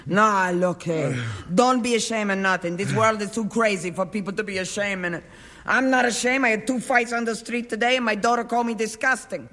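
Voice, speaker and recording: An actress playing a fiery, tearful middle-aged seamstress with an Italian accent, who speaks blunt, emotional broken English. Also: female voice, mid-range voice, brisk, a bit bright, long reverb tail, natural voice. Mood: gentle. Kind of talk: 1950s film drama acting.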